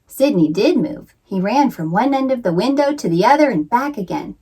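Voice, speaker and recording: A woman narrating a children's picture book in an expressive, theatrical read-aloud style with suspenseful emphasis. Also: on the high side, moderate pace, slightly rough, low-quality recording. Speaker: children's author and librarian reading aloud.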